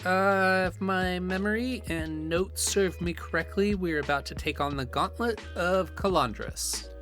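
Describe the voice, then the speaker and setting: A man's voice: high voice, unhurried, a bit bright, soft background music. A young man acting as a cautious, nervy gamer in a naturalistic audio drama. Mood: casual.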